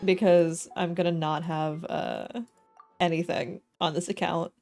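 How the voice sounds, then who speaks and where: A woman's voice: on the low side, measured pace, vocal fry, soft background music. A woman chatting casually and expressively while live streaming a game. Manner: giggly, expressive.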